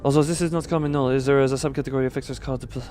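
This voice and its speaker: A man's voice: mid-range voice, steady tone, rapid-fire, darkish tone. A man live streaming a game, chatting casually, reading dialogue aloud and breaking off into asides to chat. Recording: game music behind the voice.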